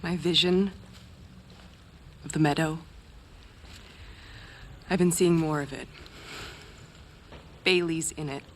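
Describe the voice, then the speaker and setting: A woman's voice: low voice, steady tone, slow, long pauses, slight background noise. A woman acting an earnest, compassionate and troubled visionary in a video game cutscene, hesitant and pleading.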